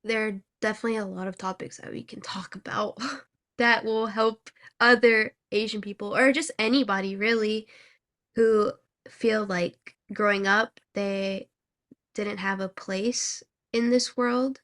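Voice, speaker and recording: A woman's voice: medium pitch, steady tone, unhurried, fairly bright tone. A woman in her twenties, from Thailand, co-hosting a podcast with dry, teasing remarks. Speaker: podcast co-host. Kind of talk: casual chat.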